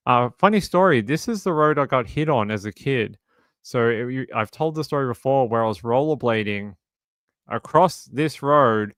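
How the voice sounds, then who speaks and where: Australian man, about forty, hosting a casual stream, loose storyteller who restarts sentences, mid-range pitch, dead-dry room. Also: fairly bright tone, clean tone, no room sound. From Sydney, Australia. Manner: rambling, self-correcting storyteller. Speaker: true crime streamer. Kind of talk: casual livestream chat.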